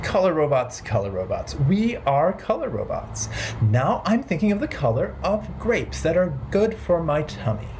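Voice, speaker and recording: A man in his thirties hosting a children's storytime, playful and expressive, speaking simply in a rhythmic sing-song way. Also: sits high, slight background noise. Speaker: children's storytime host at a library. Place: bright living room at home.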